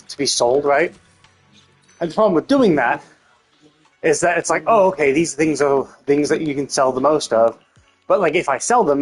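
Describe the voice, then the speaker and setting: A man doing casual live game commentary, thinking aloud in a rambling way and swearing casually. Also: fairly high pitch.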